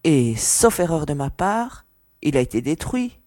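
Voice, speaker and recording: A woman's voice: sits low, very animated, unhurried, dark tone, no echo, studio quality. An actress playing a skeptical young woman officer in a sci-fi audio drama, questioning and coolly teasing. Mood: curious. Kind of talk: French audio drama dialogue.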